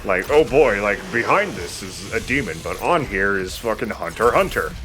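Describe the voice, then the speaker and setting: Low-voiced man commentating over a game. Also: animated, normal speed, a bit bright, a little hiss or hum. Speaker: let's play host. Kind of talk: casual gameplay commentary.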